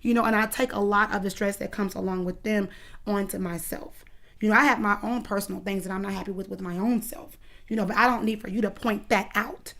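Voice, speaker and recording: A woman's voice: mid-range voice, steady tone, brisk, creaky, a bit bright. A woman in her thirties, a dance coach, answering interview questions in an earnest, emphatic and protective way. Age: 30s.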